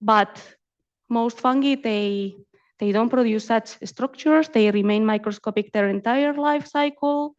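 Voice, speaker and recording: A woman's voice: on the high side, measured pace, highs cut off. A woman presenting research at a conference, speaking as an academic and often repeating words as she goes. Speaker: invited academic speaker, community ecologist. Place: symposium talk.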